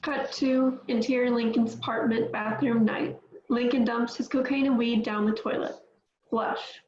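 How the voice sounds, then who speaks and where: In a very roomy recording with faint background noise, a woman reads screenplay action lines. Her voice is fairly high, delivering scripted narration of stage directions. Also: steady tone, a little slow, a little mellow, highs cut off.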